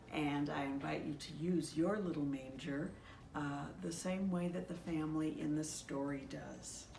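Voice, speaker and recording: A woman reads a storybook aloud at a very slow, measured pace, with gentle expression in her delivery. Her voice sits slightly low, and she is recorded at a distance from the mic in a very echoey room. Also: a little hiss or hum. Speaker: pastor reading a children's story aloud.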